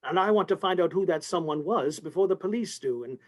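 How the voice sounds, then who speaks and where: An actor playing a fussy, anxious store executive in a 1950s-style radio play, speaking formally with polite hesitations. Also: male voice, high voice, expressive, fast talker. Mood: worried, guarded.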